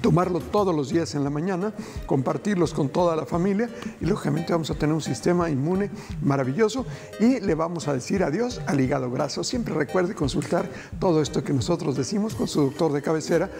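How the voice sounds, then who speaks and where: Middle-aged guy hosting a cooking show, gravelly and a bit high, chatty and homey, music in the background. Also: a little fast. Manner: folksy, addresses viewers as family, gentle advice. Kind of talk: cooking demonstration. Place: TV studio kitchen set.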